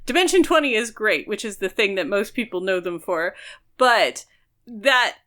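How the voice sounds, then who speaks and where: Bright-voiced woman chatting casually on a podcast. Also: mid-range voice, lively intonation, normal speed. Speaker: podcast co-host.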